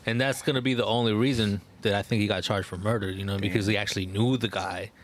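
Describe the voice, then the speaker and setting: A man's voice: fairly low pitch, fairly even intonation, quick pace. A man co-hosting a podcast in a casual, slangy, profane group chat. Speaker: podcast co-host. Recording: noticeable background noise and room ambience.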